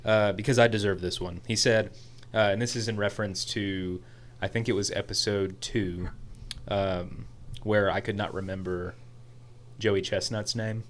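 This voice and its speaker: A young man co-hosting a podcast in casual chat, an excitable storyteller who rambles, his voice a little hoarse. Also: lowish voice, normal speed, slight background noise. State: slightly hoarse after a concert. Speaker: podcast co-host.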